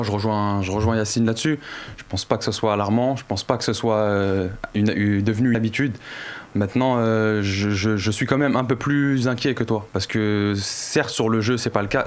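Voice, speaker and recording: A man with a somewhat low voice talks as a football pundit. His delivery is spontaneous, in the manner of a panel discussion.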